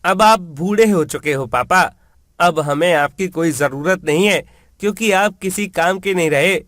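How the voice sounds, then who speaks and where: A man's voice: high voice, a little slow, fairly bright tone, no echo, studio quality, voice pushed up. A man voicing a spoiled, greedy grown son, brash and dismissive, in a storybook tale. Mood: contemptuous.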